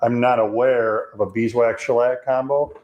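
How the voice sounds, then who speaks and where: A deep-voiced man in his fifties with a Chicago accent, hosting a live woodworking question session. He reads viewer questions aloud in a careful, measured way. His voice bounces around a roomy shop space. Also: fairly even intonation, highs cut off, a little hiss or hum. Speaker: host. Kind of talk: live Q&A chat.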